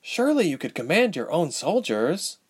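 A man's voice: on the high side, very animated, normal speed, breathy edge, pitched above the performer's own voice. A man voicing a young woman ice mage in an audiobook story, wary and dryly humorous, with a questioning manner. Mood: perplexed. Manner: questioning, dry.

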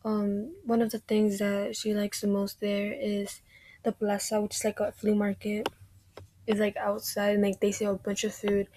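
Young female podcast host; casual recap, filler-heavy, flat intonation, breathy at times, dry recording. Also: mid-range voice, moderate pace. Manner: chatty, fillers, drifts into whispery stretches.

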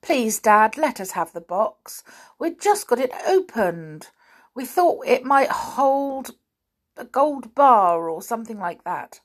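A woman's voice: on the high side, very animated, takes it slowly, voice pushed up, heavily acted. A woman voicing a headstrong young tomboy girl in a story, insistent and imploring. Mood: pleading.

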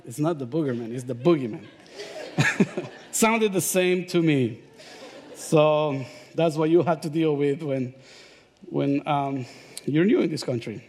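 A middle-aged man from Honduras with a Honduran Spanish accent, preaching a sermon in a relaxed storytelling way with self-deprecating humor. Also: sits high. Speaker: guest preacher. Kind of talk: sermon with personal stories.

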